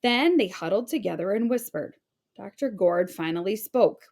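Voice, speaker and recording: A woman teacher reading a children's story aloud in an expressive narrating style. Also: mid-range voice, moderate pace.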